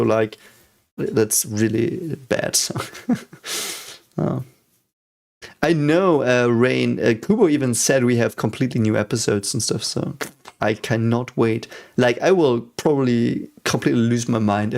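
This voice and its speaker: Streamer, man in his thirties, German accent, gravelly voice, loose rambling chat. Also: medium pitch, a little slow, darkish tone. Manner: rambling, many fillers and restarts. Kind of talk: casual live-stream chat.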